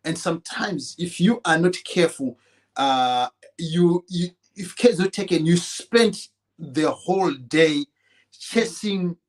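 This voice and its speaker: A middle-aged man with a Zimbabwean accent, talking earnestly in a relaxed conversation, often repeating words as he searches for them. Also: fairly high pitch, takes it slowly. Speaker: podcast co-host. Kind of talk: relaxed conversational advice talk.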